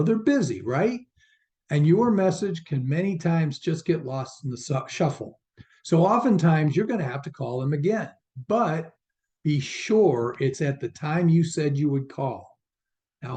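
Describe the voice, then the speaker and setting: A man's voice: fairly high pitch, mellow, lo-fi sound. A man coaching viewers in an instructional video, speaking conversationally and stressing key points emphatically. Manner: emphatic, repeats words for stress; conversational, asks the listener questions. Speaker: recruiting coach, video channel host. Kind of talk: instructional talk to camera.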